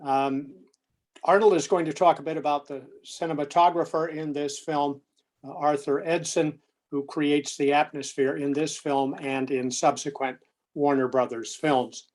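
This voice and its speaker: A man presents a prepared talk in a conversational register. His voice is a little higher than most men's. He phrases things in a measured, deliberate way, as a lecturer would. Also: steady tone.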